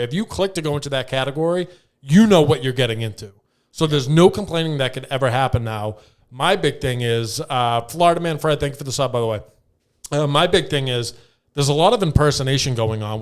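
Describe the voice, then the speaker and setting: A man with a clear, resonant voice at an average pitch talks as a podcast host. His delivery is blunt and irreverent, full of casual swearing, like loose banter among co-hosts.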